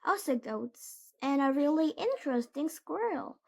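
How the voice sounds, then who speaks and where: A woman's voice: high voice, very animated, measured pace, vocal fry, exaggerated voice. A woman playing an earnest male masked avenger hero in a children's storybook.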